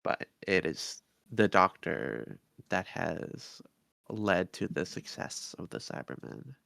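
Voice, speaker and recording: A man co-hosts a casual review podcast in a very slow, halting way, laying out short fragments with care. His voice is gravelly, with a bright, forward edge. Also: low voice, very animated, no room sound, clean studio sound. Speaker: podcast co-host.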